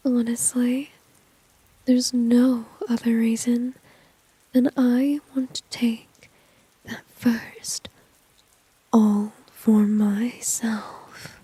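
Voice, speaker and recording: A woman plays a dominant, flirtatious villainess in an intimate ASMR roleplay. Her whisper is soft, mellow and very breathy, unhurried and smugly teasing. It sits close and dry on the microphone. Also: fairly high pitch, no room sound, exaggerated voice, low-quality recording. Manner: slow, teasing, smug, intimate. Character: seductive, dominant female villain teasing a shy enemy.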